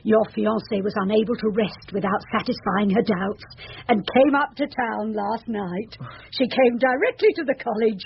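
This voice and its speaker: A woman's voice: a little high, moderate pace, gravelly. A woman playing an earnest, kindly, composed character in old-time radio drama style. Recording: dull, noisy old radio recording.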